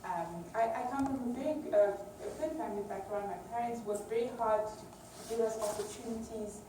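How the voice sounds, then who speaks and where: A woman's voice: a little high, normal speed, mellow. A woman from Uganda, an academic researcher, gives a semi-informal public lecture, repeating words hesitantly as she goes. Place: large echoey lecture room. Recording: distant mic, very echoey hall, clear background noise.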